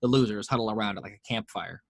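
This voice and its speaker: A man's voice: lowish voice, fairly even intonation, fast, creaky, dry recording. A man reading the action lines of a screenplay aloud at a home table read, steadily, voicing the sound effects. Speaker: narrator reading action description.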